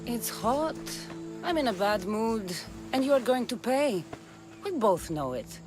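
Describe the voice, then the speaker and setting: A hard young female mercenary from a video game. Her delivery is dry, blunt and threatening, with a lively pitch range. Loud music plays behind her. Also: a little slow. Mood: menacing.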